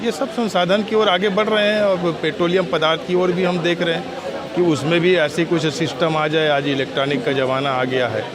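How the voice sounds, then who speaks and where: Measured male politician amid crowd chatter. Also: a little high, little pitch movement. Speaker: politician, state legislator. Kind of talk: formal answers to a reporter.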